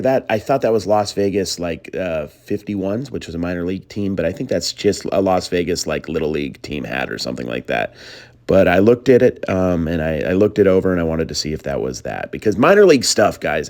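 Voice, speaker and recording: Tired low-voiced man, chatty voiceover. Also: a little mellow. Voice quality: deeper voice than usual. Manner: chatty, trails off and restarts, addresses viewers as guys. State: tired, voice a bit gone. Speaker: thrift reseller vlogger. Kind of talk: casual voiceover narration.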